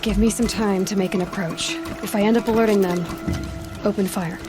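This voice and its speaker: A woman's voice: mid-range voice, steady tone, close to an everyday voice. A fearless young outcast huntress, voiced by a woman, plainspoken with a dry edge. Her mid-range voice stays level and sure over faint game music. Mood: determined. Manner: direct, dry, quick-witted. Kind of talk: video game cutscene acting.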